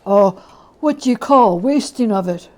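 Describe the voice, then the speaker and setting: A woman voicing a greedy old man who deals in plundered goods, prying and halting, in a dramatic storybook reading. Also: mid-range voice, expressive, a little mellow, lightly acted. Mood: uneasy, prying.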